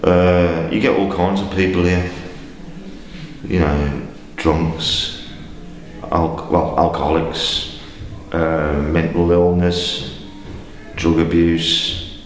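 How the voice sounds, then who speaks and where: A man's voice: low-pitched, steady tone, takes it slowly, long pauses, soft background music. A man, a hostel resident talking plainly in a documentary interview. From England. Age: 50s. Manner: plain-spoken, rambling with fillers. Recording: echoey hall with faint noise.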